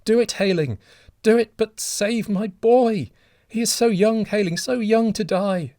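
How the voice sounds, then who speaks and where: A man's voice: high voice, measured pace, darkish tone, dry recording, clean studio sound, voice pushed up. A man voicing a desperate old father who shrieks and begs for his drowning son. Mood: begging. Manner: shrieking, pleading, breathless.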